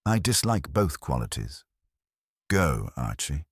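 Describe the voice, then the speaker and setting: Man acting an imperious detective, very deep voice. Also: very animated, takes it slowly, husky, dry recording, clean studio sound, full character voice. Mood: coldly affronted. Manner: formal, precise, commanding diction. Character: imperious, pedantic, affronted older male genius detective.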